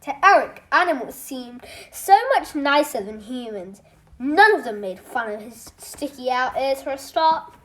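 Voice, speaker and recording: A storytime reader narrating a children's book aloud, haltingly, with stumbles and self-corrections. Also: high-pitched, expressive, fairly bright tone. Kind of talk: children's audiobook reading, scripted narration.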